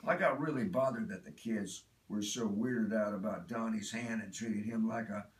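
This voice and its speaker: A man's voice: lowish voice, moderate pace, close to an everyday voice. A man performing a dramatic monologue as an earnest, chatty, self-absorbed do-gooder, speaking in a confiding, emphatic way. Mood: sympathetic. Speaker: author reading own work. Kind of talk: dramatic first-person monologue reading. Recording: roomy, distant mic, faint noise.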